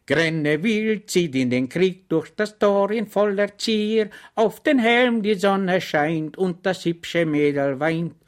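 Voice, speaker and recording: A man's voice: fairly high pitch, moderate pace, a bit bright, pitched above the performer's own voice, close to an everyday voice. A man reading an audiobook, voicing a cheerful, simple-minded soldier orderly who chats earnestly and deferentially. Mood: singing cheerfully. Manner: chatty, earnest and deferential, rambling anecdotes.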